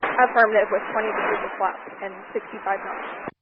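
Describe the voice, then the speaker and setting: A woman's voice: mid-range voice, level tone, fairly quick. Woman on noisy, tinny aviation radio. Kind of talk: live aviation radio exchanges.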